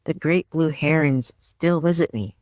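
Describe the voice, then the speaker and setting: Woman reading as soft, wistful young woman. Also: deep voice, measured pace, no echo. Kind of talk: audiobook drama dialogue.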